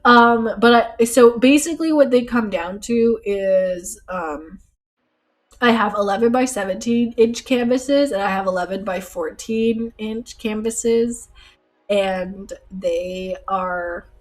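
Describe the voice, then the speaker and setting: A woman's voice: fairly high pitch, slow pace. A young woman streaming live while she paints, chatting casually with lots of fillers, her nose stuffed up. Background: soft lo-fi music.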